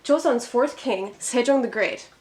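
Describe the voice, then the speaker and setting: A woman's voice: a little high, a little slow, darkish tone. A woman from Minnesota teaching a Korean lesson in an upbeat, friendly tone. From Minnesota, USA. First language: English. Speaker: Korean tutor. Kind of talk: scripted educational narration.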